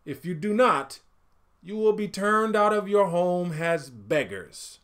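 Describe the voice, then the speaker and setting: A man voicing a stern, authoritative village chief in a storybook folktale, making commanding, deliberate pronouncements. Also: high-pitched, animated, fairly bright tone, pitched above the performer's own voice, heavily acted. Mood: stern, solemn.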